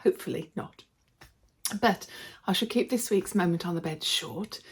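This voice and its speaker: A woman vlogger with a slightly hoarse voice from a cold, chatting casually and cheerfully to her viewers. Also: on the low side, normal speed. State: slightly hoarse, losing her voice from a throat infection. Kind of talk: casual vlog chat to viewers.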